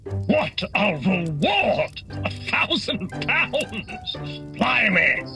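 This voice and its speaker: A man playing an excitable, awestruck working-class fellow in a radio play. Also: sits high, extremely slow, vocal fry, soft background music, exaggerated voice. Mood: excited, grateful.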